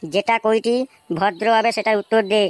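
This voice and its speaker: A man's voice: high voice, steady tone, moderate pace, close to an everyday voice. A man voicing a bullying, short-tempered gangster in a comedy cartoon, crude and browbeating, with a rural West Bengal accent. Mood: threatening. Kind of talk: amateur comedy cartoon dubbing. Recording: faint background noise.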